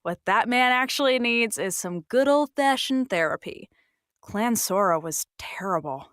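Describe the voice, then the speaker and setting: A woman's voice: on the high side, lively intonation, measured pace, fairly bright tone, no room sound, clean studio sound. A woman playing a sassy, contented human attendant in an audio drama, casual and blunt in her talk. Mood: frank, sassy.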